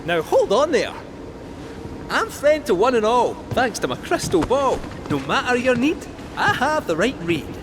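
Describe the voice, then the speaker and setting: An actor playing an excitable, jaunty creature in a fantasy video game, with a theatrical, animated manner. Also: male voice, very high voice, unhurried, noisy background, exaggerated voice. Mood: jaunty, boastful. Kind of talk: video game character acting.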